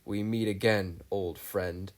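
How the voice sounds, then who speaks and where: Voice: deep voice, steady tone, slow pace. A performer voicing a theatrically heroic woman sea captain in a dramatic, declamatory manner. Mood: ominous.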